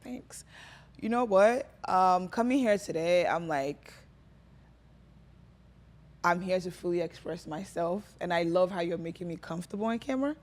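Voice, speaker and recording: A woman's voice: lowish voice, lively intonation, moderate pace, long pauses. A woman from Nigeria, a guest in a casual podcast interview, talking in a rambling, chatty way full of fillers. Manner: chatty, rambling, filler-heavy, laughs often.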